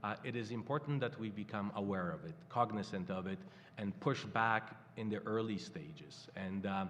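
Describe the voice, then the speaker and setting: An older man from Poland with a Polish accent, speaking haltingly as a guest at a live panel. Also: on the low side, a bit bright, a little hiss or hum. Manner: halting, many fillers and restarts. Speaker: campaign guest. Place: stage in a hall before a live audience. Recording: hall reverb.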